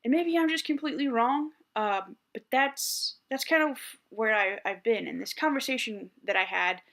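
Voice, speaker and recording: A man in his thirties with a higher-pitched voice speaks candidly to the camera. He thinks out loud in a reflective, personal way, with plenty of restarts and "like"s along the way. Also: moderate pace, vocal fry. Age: 30s. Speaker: vlogger.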